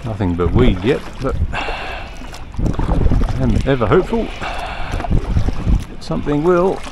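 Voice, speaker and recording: Australian man, relaxed vlog chatter, fillers, outdoor action-cam audio with clear wind and water noise. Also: mid-range voice, slow pace. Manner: laid-back, rambling, lots of fillers. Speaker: fishing vlogger. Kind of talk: casual narration while kayak fishing. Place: kayak on a lake outdoors. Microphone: action camera on the kayak.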